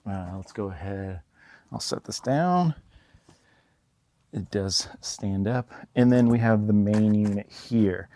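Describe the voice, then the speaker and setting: Male reviewer, lowish creaky voice, informal unboxing delivery, talks through things hands-on. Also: slow pace, mellow. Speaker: product reviewer.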